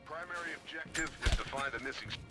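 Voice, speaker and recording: A man's voice: on the high side, fast, close to an everyday voice. A man playing an authoritative military squad leader in a video game, giving clipped radio orders. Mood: commanding. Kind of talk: scripted game voice acting, military radio talk. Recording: loud game music and battle sounds.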